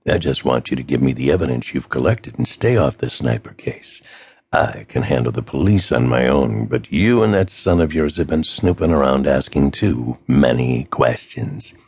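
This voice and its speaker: A man voicing a cold, menacing male villain with a gravelly voice who threatens slowly. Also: extremely low pitch, animated, darkish tone, no room sound, studio quality, pitched below the performer's own voice, exaggerated voice.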